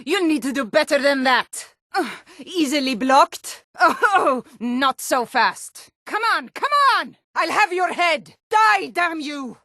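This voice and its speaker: Casting: tough female Nord guard for a fantasy game. Fairly high voice, slow delivery, blunt and combative. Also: expressive, pushed and bright, no room sound, studio quality, heavily acted. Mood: aggressive, taunting. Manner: stern, taunting, combative. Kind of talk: fantasy video game voice lines.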